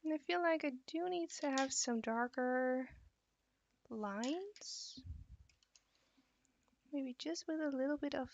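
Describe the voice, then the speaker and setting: Tired Dutch woman, gravelly voice. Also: high-pitched, takes it slowly, long pauses, a little hiss or hum. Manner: relaxed, reads and answers chat questions while drawing. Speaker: art teacher streaming live. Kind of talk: live drawing stream with chat. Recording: dull, dry sound.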